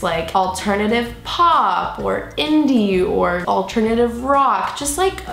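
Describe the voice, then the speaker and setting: Young woman, casual Q&A vlog, stuffed-up cold, chatty and playful, echoey room. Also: medium pitch. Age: young adult. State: sick, slightly nasal with a cold. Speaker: vlogger. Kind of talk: casual chat to camera, Q&A. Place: living room or bedroom indoors. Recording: roomy indoor echo.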